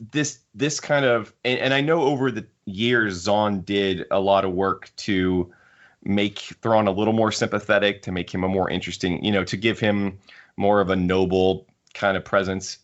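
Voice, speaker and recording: Muffled, low-voiced male podcast host. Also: a little slow. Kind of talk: casual panel discussion of books. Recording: muffled lo-fi audio.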